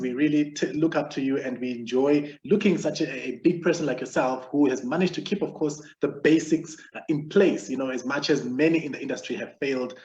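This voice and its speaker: A man's voice: medium pitch, a little mellow. A man in his thirties from Zimbabwe, hosting a live video chat in a chatty, joking manner. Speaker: host. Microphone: video call. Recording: echoey, dull video call audio.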